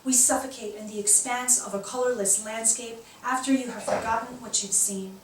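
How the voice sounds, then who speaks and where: A woman's voice: a little high, fairly even intonation, normal speed. Woman poet in an echoey room. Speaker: poet reading own work. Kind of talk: live poetry recitation. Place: indoor poetry reading, recorded from a distance. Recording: echoey room, distant mic, faint noise.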